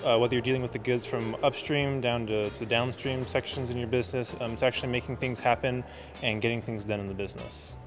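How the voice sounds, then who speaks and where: Fast-talking energetic man. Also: mid-range voice, over music. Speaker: MBA student. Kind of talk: interview answers.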